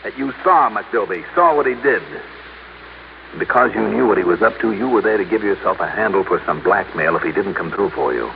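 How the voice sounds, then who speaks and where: Cool american detective, low gravelly voice, assured, old noisy radio show. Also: male voice, expressive, brisk, phone-quality sound. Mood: accusing. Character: shrewd, cool American insurance investigator. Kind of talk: old-time radio drama acting and first-person narration.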